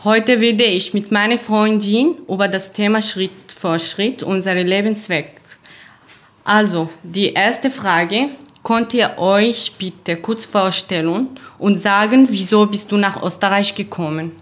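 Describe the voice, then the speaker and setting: A young woman from Syria, hosting a community radio interview in slow, careful speech with small grammar slips. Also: medium pitch, steady tone. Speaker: radio show host. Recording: roomy echo.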